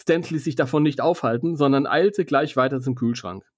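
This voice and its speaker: A man's voice: mid-range voice, normal speed, husky, no echo, studio quality. A man with a German accent, co-hosting a podcast, reading a story and bantering with teasing asides. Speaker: podcast co-host reading a film novel aloud.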